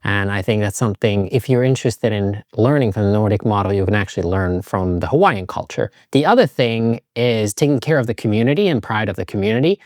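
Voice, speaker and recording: A man's voice: fairly low pitch, expressive, brisk, few pauses, darkish tone, no echo, studio quality. A middle-aged man from Finland, talking in a reflective, loose, casual vlog style. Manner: reflective, loose, hedging with "like" and "kind of". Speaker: vlogger.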